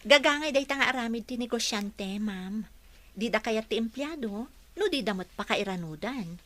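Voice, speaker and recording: A woman's voice: fairly high pitch, lively intonation, normal speed, fairly bright tone. An actress playing an earnest, determined young woman employee in a radio drama, polite and self-assured. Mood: polite, confident.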